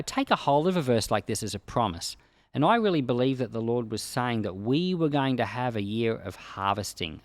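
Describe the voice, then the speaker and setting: A man's voice: medium pitch, dry recording. A man speaking as a pastor, giving informal Bible commentary in a conversational way with plain, everyday words. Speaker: pastor and Bible podcast host.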